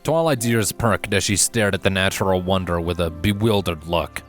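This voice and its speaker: A man narrating a fantasy story from a script in an expressive, dramatic way. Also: low-pitched, moderate pace. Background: music.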